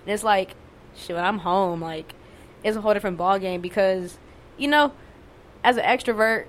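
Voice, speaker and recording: A young woman with a fairly monotone voice, talking candidly and casually, swearing and using lots of fillers. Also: medium pitch, normal speed, a little hiss or hum. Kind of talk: casual conversation.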